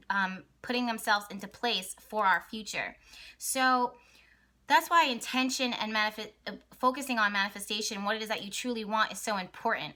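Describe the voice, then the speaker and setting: Hoarse bright-voiced woman vlogger. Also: a little high. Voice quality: raspy, voice cracks at times. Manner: rambling, excited, many fillers and restarts. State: hoarse, partly lost voice. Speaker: astrology vlogger and channel host. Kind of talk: casual astrology talk to camera.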